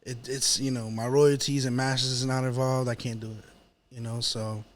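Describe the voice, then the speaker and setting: A man's voice: mid-range voice, little pitch movement, normal speed. A man, a rapper chatting casually and informally as a guest, with plenty of slang. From New York City, Manhattan. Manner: slangy, informal, often swearing. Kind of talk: casual chat.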